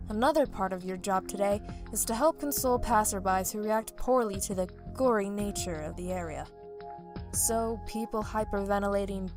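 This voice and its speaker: A woman's voice: mid-range voice, lightly acted. A woman playing a composed superior officer, speaking formally and officially in an audio drama briefing.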